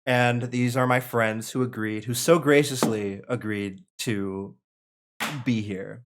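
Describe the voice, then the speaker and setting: A man's voice: medium pitch, steady tone, takes it slowly, background noise. A young man hosting casually on a livestream, rambling and correcting himself as he explains and narrates. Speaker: host and aspiring screenwriter reading stage directions.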